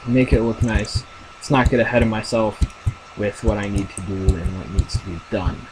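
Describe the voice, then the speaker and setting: Young male gamer, deep voice, rambling casual commentary, noisy home recording. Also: flat delivery, moderate pace. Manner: rambling, thinking aloud with many fillers. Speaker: gamer narrating his play.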